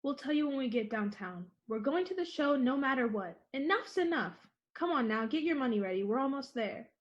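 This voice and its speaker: A woman's voice: sits high, expressive, fairly quick, muffled recording. An actress playing a bold, scornful, headstrong young woman in a 1940s-style radio play, with a firm, commanding manner. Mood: brisk, resolute.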